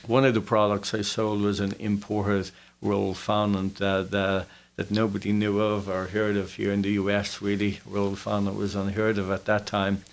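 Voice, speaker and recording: Low, even-voiced Dublin Irishman. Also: moderate pace, hardly any pauses. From Dublin, Ireland. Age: 40s. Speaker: interview guest, business owner. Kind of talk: casual personal storytelling.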